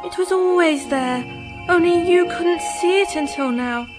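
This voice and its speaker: An actress playing a serene, alluring otherworldly moon woman in a radio drama, gentle, coaxing and unhurried in manner. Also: very high-pitched. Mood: serene, mysterious. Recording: music behind the voice.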